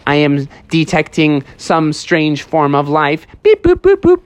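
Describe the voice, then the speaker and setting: A man's voice: a little high, wildly swooping pitch, measured pace, clear, resonant tone, no echo. A man voicing a literal-minded robot bear with stiff, formal phrasing in a children's story. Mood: matter-of-fact.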